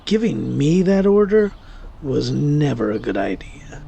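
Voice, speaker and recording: A man playing a guilt-ridden warlock who confesses to killing, in a theatrical, sardonic first-person horror monologue with deliberate pauses. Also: fairly high pitch, very animated, vocal fry, full character voice. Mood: dryly defiant. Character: male warlock killer, wry, self-deluding, guilt-ridden confessor. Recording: music under the voice.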